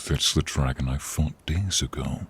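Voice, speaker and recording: A man voicing a proud, stern, mighty dragon in a fantasy audio roleplay, husky and blunt, with an imposing delivery. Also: moderate pace, a bit bright, exaggerated voice. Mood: stern, defiant. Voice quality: very deep, husky.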